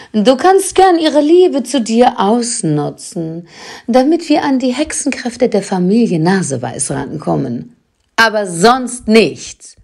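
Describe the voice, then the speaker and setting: An actress playing a bossy, scheming old witch grandmother in an audio drama, husky and commanding. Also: a little high, a little mellow, heavily acted. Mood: sly, domineering. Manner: commanding, drawn-out, conniving. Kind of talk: German audio drama acting.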